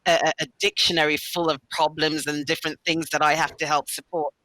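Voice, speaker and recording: A woman's voice: low voice, flat delivery, a little fast, sharp tone, no room sound, rough recording. A woman whose first language is Caribbean Creole English, speaking candidly as a student on a panel. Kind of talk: conversational panel talk.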